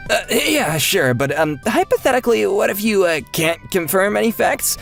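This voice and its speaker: Manga dub casting: male actor as cowardly office weasel, high, swooping voice, stammering and wheedling. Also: expressive, normal speed, vocal fry, fairly bright tone, faint music behind, heavily acted. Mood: nervous, wheedling. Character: flustered, whiny, cowardly scheming male office colleague.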